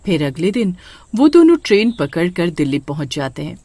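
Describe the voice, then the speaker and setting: A woman's voice: fairly low pitch, very animated, normal speed, mellow, slight background noise. A woman narrating a scripted horror story.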